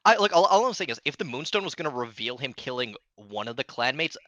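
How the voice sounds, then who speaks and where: Animated, bright-voiced man debating. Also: medium pitch, quick pace, no room sound. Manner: argumentative, questioning. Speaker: book club podcast participant. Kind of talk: casual debate, book discussion.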